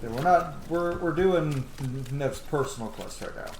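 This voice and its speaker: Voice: mid-range voice, normal speed. A gamer giving casual, offhand playthrough commentary, thinking aloud. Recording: roomy echo, clear background noise.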